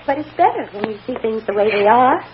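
A woman's voice: a little high, expressive, quick pace, close to an everyday voice. Actress as tender, distraught mother, muffled radio recording. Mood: gentle, reassuring. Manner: emotional, coaxing, hushed endearments. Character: tender, distraught mother soothing her lost child. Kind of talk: old-time radio soap acting. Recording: muffled, noisy old radio drama recording.

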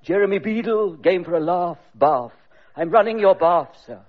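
A pompous, droll butler. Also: male voice, sits high, moderate pace, lo-fi sound. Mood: haughty. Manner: pompous, dry, over-polite. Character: haughty, droll upper-class male butler. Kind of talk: radio sketch comedy acting.

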